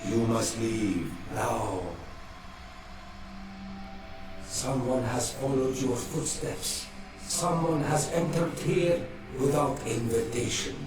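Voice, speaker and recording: A man's voice: mid-range voice, takes it slowly, natural voice. An actor playing an ancient, wounded male nature spirit in a game, speaking solemnly and portentously in broken phrases. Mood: urgent warning. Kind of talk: slow dramatic game voice acting. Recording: cavernous echo, distant, music underneath.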